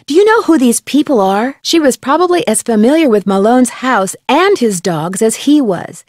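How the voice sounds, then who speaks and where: A woman playing a curious, polite young amateur detective in an adventure game, speaking in an earnest, clearly enunciated, inquisitive way. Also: a little high, expressive, moderate pace, a little mellow, no room sound, clean studio sound, lightly acted. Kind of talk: scripted adventure game dialogue.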